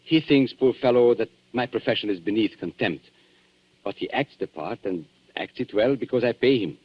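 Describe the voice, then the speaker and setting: An actor playing a suave, menacing antique dealer with formal, sardonic politeness in a 1940s radio thriller. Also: male voice, lowish voice, normal speed, husky. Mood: cool menace. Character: suave, menacing male antique dealer toying with guilty visitors. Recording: dull 1940s radio broadcast.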